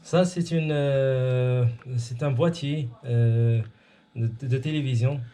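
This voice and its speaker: A man casually explaining technical gear, haltingly and groping for words. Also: mid-range voice, steady tone, dark tone. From Morocco. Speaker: amateur radio hobbyist explaining his setup. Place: indoors at his home radio station.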